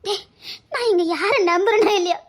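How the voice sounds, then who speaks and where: A voice actor playing a distraught young woman who pleads and sobs over her injured brother in a melodramatic serial. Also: extremely high pitch. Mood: sobbing, pleading. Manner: sobbing, pleading, drawn-out.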